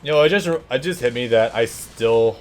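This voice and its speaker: A man's voice: medium pitch, normal speed. A man co-commentating a let's play in casual, laid-back chat, joking and swearing now and then. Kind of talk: casual chat over gameplay. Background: game music.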